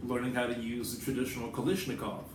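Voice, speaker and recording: A man's voice: mid-range voice, little pitch movement, fast. An American man giving a casual, rambling vlog monologue, informal and digressive, with some swearing. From United States. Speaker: writer and vlogger. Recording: roomy echo, distant mic, background noise.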